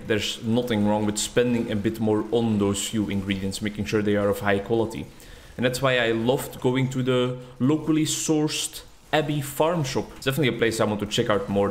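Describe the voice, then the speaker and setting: Rambling young man, lowish voice. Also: steady tone, normal speed. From Netherlands or Flanders. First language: Dutch. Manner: rambling, reflective, self-deprecating. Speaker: gaming YouTuber. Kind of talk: casual commentary over gameplay.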